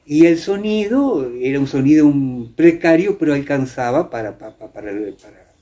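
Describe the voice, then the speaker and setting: An older man with an Argentine accent from Entre Ríos, reminiscing in a conversational interview, haltingly searching for words and repeating himself. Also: fairly high pitch, lively intonation, warm tone. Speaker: interviewee, former radio theatre actor.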